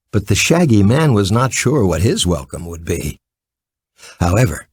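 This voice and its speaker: A man reading a scripted children's story as an expressive, theatrical narrator. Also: lowish voice, slightly rough, dry recording.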